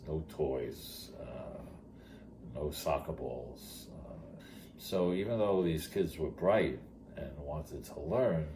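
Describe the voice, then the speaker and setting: Older male storyteller, bass-deep and plainspoken, speaking conversationally over noticeable noise. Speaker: retired teacher telling his story. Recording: clearly noisy background.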